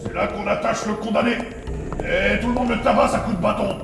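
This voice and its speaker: A man's voice: sits high, fairly even intonation, fairly quick, creaky, music in the background. A man playing a sardonic, casually joking chemist with ghoulish humour in a fantasy comedy audio drama. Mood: gleefully ghoulish.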